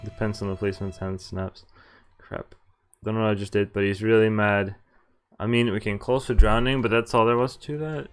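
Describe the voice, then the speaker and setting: A man's voice: lowish voice, steady tone, a little fast, smooth. A man streaming a visual novel, reading the text aloud and adding casual commentary. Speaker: game streamer. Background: faint game music.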